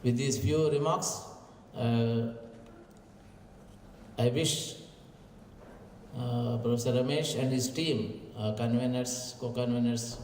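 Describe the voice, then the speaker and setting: A man gives halting formal remarks, full of "uh" pauses, at a mid-range pitch. The sound is distant and reverberant, with faint noise behind it. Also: steady tone, a little mellow. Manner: hesitant, many "uh" fillers. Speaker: guest dignitary giving remarks. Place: large conference hall. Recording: echoey hall, distant mic, faint hum.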